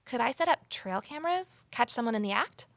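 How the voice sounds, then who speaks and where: An actress playing an eager, easily flustered rookie conservation officer and amateur sleuth in an audio drama, earnest and inquisitive. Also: female voice, on the high side, expressive, brisk, vocal fry, fairly bright tone, dry recording. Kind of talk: naturalistic audio drama acting with first-person narration.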